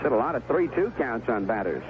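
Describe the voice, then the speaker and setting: An American man calling baseball play-by-play on the radio, in clipped phrases with unhurried pauses. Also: mid-range voice, sing-song. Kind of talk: live baseball radio commentary. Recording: tinny, noisy 1970s AM radio broadcast.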